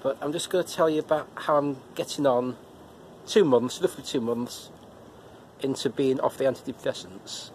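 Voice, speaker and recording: A man's voice: mid-range voice, fairly even intonation, a little mellow, slight background noise. A middle-aged man with a head cold, talking casually to camera in a personal vlog, often repeating words as he restarts. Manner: chatty, halting with repeated words. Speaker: vlogger reviewing episodes. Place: living room indoors, and a car park outdoors at night.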